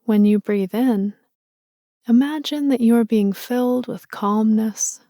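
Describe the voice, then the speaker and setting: A woman guides a meditation in a slow, unhurried voice that is mellow and soft. She sounds gentle and soothing, like a motherly guide. The sound is dry and close, with no room around it. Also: a little high, no room sound.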